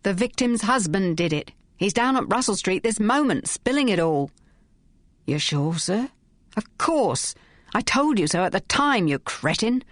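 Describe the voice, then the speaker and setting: Woman voicing a gruff, scornful police inspector. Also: medium pitch, expressive, unhurried, no room sound, close to an everyday voice. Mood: angry. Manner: barking, scornful questions. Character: gruff male police detective inspector, short-tempered.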